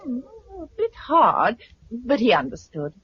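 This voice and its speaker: An actress playing a gentle, supportive British wife surviving a catastrophe, in a 1950s radio drama manner. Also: female voice, a little high, sing-song, unhurried. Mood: teasing, tender. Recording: muffled, noisy 1950s radio recording.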